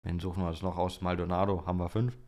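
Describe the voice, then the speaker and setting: Young male podcast host, low voice, casual and slangy chat. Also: steady tone, fast talker, darkish tone. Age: young adult. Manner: informal youth slang. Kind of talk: casual chat about motorsport, answering listener questions.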